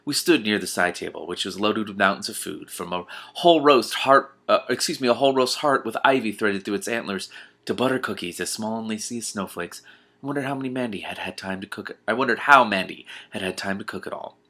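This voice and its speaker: A man reading a novel aloud in an expressive way, breaking off to react to it in exclaimed asides. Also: lowish voice, fairly quick. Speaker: online reader who comments on books.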